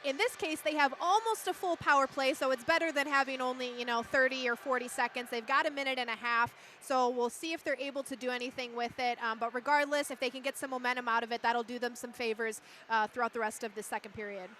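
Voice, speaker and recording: A woman's voice: sits high, steady tone, fast talker, hardly any pauses, fairly bright tone, slight background noise. A woman with a Minnesotan accent giving casual hockey analysis, explaining plays and laughing easily. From Twin Cities, Minnesota, USA. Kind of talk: casual live-stream analysis.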